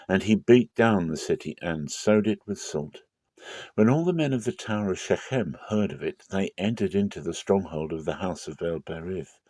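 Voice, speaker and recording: A man giving a dramatic scripture reading as narrator, with measured, solemn storytelling. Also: deep voice, dark tone, dry recording. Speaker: Bible reader.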